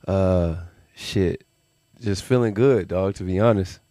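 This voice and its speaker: A man in his thirties, raised in Las Vegas after a Milwaukee childhood, talks through his story in an easygoing, reflective way. His voice sits low and comes across smooth and clear. The sound is close and dry. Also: takes it slowly, warm tone, no room sound. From Milwaukee, Wisconsin; raised in Las Vegas. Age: 30s. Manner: laid-back, reflective, lots of fillers. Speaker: musician guest. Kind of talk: casual podcast interview.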